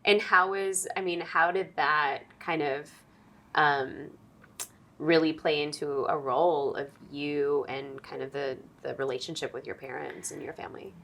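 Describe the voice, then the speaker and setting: A woman hosting a podcast interview, empathetic and expressive, with vivid reactions to her guest. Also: low voice, unhurried. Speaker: podcast host. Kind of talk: conversational interview.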